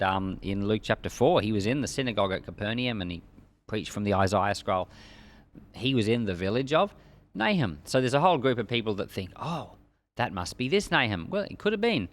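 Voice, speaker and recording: A man preaching and teaching from the Bible in an emphatic, conversational way. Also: lowish voice. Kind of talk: Bible reading and informal expository sermon.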